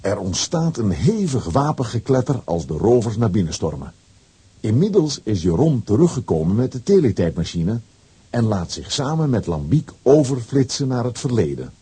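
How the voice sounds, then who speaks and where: A man narrating a children's audio adventure story in a dramatic, scripted way with a dark, husky voice. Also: medium pitch, very animated, moderate pace.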